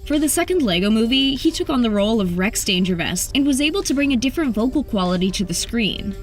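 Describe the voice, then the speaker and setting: A woman's voice: on the high side, animated, fast talker. A woman narrating an entertainment video from a script. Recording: music under the voice. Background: background music.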